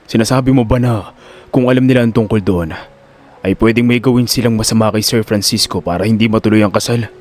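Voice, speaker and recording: A man playing a calm, serious male confidant in a Filipino audio drama, speaking in a measured, reassuring way. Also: on the low side, close to an everyday voice. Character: Rocky, calm serious confidant guarding an old don.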